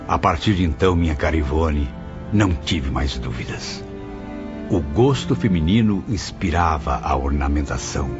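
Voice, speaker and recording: A man as a reminiscing spirit, lowish voice, muffled with music. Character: male spirit recalling a visit, addressing a medium. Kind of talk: audio drama narration.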